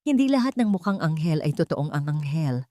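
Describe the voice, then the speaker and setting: A woman's voice: low voice, sing-song, a little fast, mellow, no room sound, clean studio sound. A woman with a Filipino accent voicing a scheming younger woman in an audio story, pointed and insinuating under feigned sweet concern.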